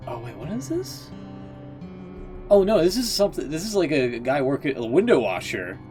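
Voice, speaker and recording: A man's voice: a little high, very animated, moderate pace, darkish tone. A man giving casual, slangy live-stream reaction commentary, with music behind him. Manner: informal, slangy.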